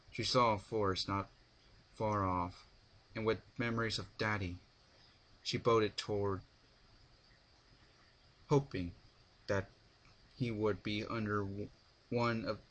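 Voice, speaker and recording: A man reading a story aloud as dramatic narration, an amateur who stumbles haltingly over some words. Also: lowish voice, fairly bright tone.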